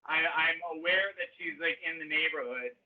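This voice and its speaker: A man playing a tabletop game, speaking hesitantly with many pauses and restarts. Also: fairly high pitch, phone-quality sound. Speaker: player.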